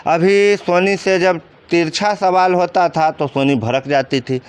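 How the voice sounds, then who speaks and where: A man's voice: high-pitched, unhurried, clear, resonant tone. A man with a Bihari accent giving informal commentary in an interview, explaining colloquially and often answering his own questions. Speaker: commentator interviewed about crime cases.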